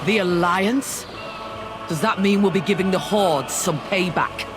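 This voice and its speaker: An actor playing a proud, combative captain of outriggers in a video game cutscene. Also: male voice, high-pitched, measured pace. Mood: eager. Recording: music behind the voice.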